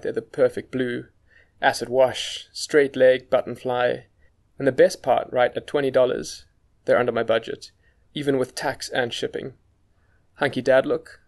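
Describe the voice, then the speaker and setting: A man with a South African accent giving scripted first-person podcast narration in a wry, conversational way. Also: medium pitch. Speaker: guest podcast presenter.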